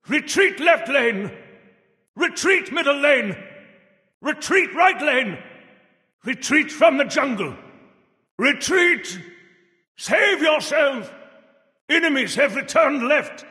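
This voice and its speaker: A man's voice: very high voice, bright, forceful tone, heavily acted. An actor playing a jovial, grandfatherly old Father Time in a video game, theatrical, emphatic and folksy. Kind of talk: video game character voice lines. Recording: long reverberant echo.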